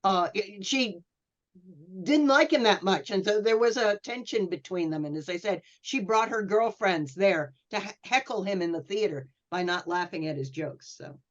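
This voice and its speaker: A woman speaks as a panelist in a casual discussion of history. Her pitch is average and her delivery hesitant, with frequent halts as she explains. The recording is dull and lo-fi. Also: normal speed. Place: remote call for a virtual convention. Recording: dull lo-fi sound.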